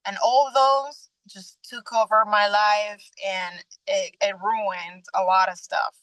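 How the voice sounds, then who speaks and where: A young adult woman from Venezuela, a guest sharing her personal faith testimony in an earnest, halting way with frequent restarts. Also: mid-range voice, fairly even intonation, fairly bright tone, no room sound, low-quality recording.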